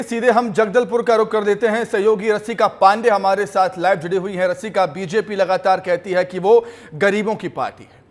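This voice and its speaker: A man presents television news in an emphatic, declarative, scripted anchor style. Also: sits high.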